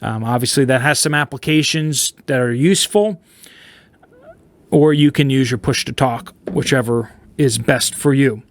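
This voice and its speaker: A man's voice: medium pitch, moderate pace. A man hosting a casual product review, explaining gear to viewers in plain, informal layman's terms. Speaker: host of a prepper radio review channel.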